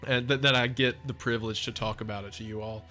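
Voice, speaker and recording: A man's voice: fairly low pitch, steady tone, quick pace, bright, forceful tone. A man giving casual off-the-cuff video commentary, chatty, effusive and enthusiastic as a video creator. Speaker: video essay creator and narrator.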